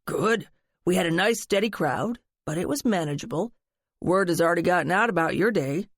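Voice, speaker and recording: A woman narrator voicing a friendly bakery manager who talks briskly. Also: lowish voice, expressive, dry recording, clean studio sound. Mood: concerned.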